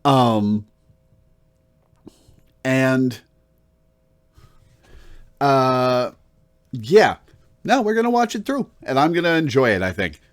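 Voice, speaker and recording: A man hosts a casual livestream in a mid-pitched voice with very lively intonation. He rambles and muses aloud, pausing to think as he chats. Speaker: livestream host. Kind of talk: casual rambling stream chat.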